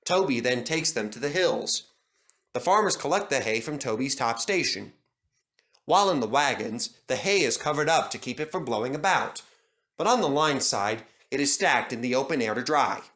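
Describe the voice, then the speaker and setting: A man narrating a children's train storybook in an animated storytelling manner. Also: medium pitch, moderate pace.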